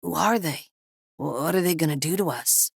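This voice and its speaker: A woman performs a scared younger man in an audiobook thriller. The voice lifts above a low register, with stammers, gasps and whining pleas. It is recorded dry and close. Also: very slow, fairly bright tone, dry recording, full character voice. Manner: stammering, gasping, pleading. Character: frightened younger man, whining, pleading researcher.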